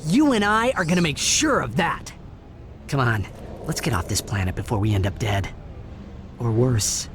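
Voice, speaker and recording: A youthful, determined game hero played by a man, casual and animated at a medium pitch. Clear ambient noise is behind the voice. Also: moderate pace, long pauses, natural voice. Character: young male cartoon space hero, determined, plain-spoken.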